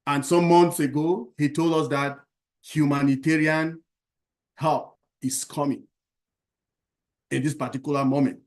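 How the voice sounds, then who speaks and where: A man from Cameroon speaking at an online round table, earnest and halting, repeating himself and pausing on fillers. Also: on the high side, level tone, a little mellow. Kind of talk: impassioned testimonial speech.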